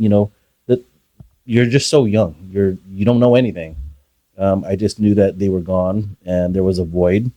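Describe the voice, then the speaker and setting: A man guest on a podcast, telling his childhood story in a soft, hesitant way with many pauses. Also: lowish voice, no room sound. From Philippines. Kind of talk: reflective personal storytelling.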